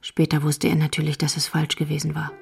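A woman narrating a radio drama from a script with a measured, literary delivery. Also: low-pitched, monotone, creaky. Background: music.

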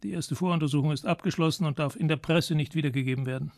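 An actor playing a stern, officious examining magistrate in a radio crime drama, speaking in formal bureaucratic language. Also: male voice, on the high side, fast talker, gravelly, darkish tone, no room sound, clean studio sound. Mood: formal dictation.

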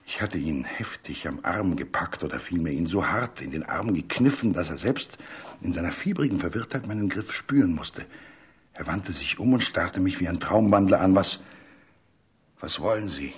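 Male narrator, very breathy husky tone, low pitch, deliberate literary delivery, suspenseful radio storytelling. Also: animated.

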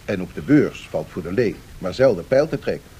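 An actor playing a learned economics professor, speaking formally and deliberately, explaining and cautioning in a radio play. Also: male voice, big pitch swings, mellow, poor audio. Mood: explanatory, measured. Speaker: professor answering questions. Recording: 1970s radio recording with faint rumbling noise.

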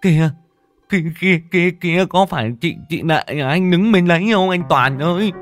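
A man's voice: high-pitched, lively intonation, fairly quick, faint music behind, voice pushed up. A man doing a stammering, terrified village lad. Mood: panicked.

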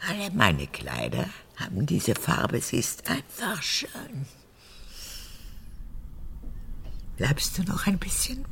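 A woman's voice: low voice, huge pitch swings, vocal fry, a little hiss or hum, full character voice. An actress playing a vain, erratic old mother and former officer in a radio drama, her voice cracked and often falling to a whisper. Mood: vain, petulant. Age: 80s. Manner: theatrical, erratic, halting then snapping. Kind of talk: German radio drama acting.

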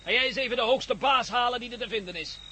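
An actor playing a cocky, menacing gang leader in a radio drama, barking orders with a sardonic edge. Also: male voice, very high voice, fast talker, bright, forceful tone, slightly rough, heavily acted. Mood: commanding. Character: cocky commanding male armed gang leader, menacing, mocking.

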